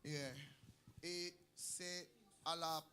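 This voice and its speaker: A man from Cameroon, a pastor, preaching a sermon in short phrases that leave room for an interpreter, with loud bursts of praise. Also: fairly high pitch, flat delivery, extremely slow, clear, resonant tone. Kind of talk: sermon delivered through an interpreter. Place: church hall.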